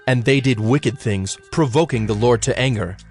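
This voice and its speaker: A man's voice: medium pitch, faint music behind. Solemn male scripture narrator. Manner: measured, formal.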